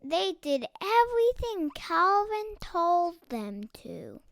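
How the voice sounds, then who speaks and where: High-voiced child reading a storybook slowly. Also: sing-song, creaky, a bit bright. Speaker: storybook reader. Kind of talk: expressive read-aloud of a children's picture book.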